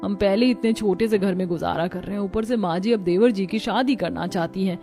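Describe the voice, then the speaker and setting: Woman as a fretful young daughter-in-law, soft-toned. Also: medium pitch, a little fast, faint music behind, lightly acted. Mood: worried. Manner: complaining, earnest. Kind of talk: cartoon story dialogue.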